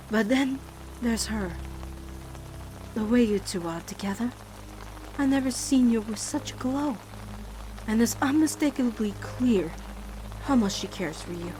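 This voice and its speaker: A woman's voice: a little high, a little mellow, slight background noise, low-quality recording. Woman as a loving, worried middle-aged mother. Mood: worried, upset, then torn, reflective. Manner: reflective, anxious, tender. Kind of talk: animated-series comic dub monologue.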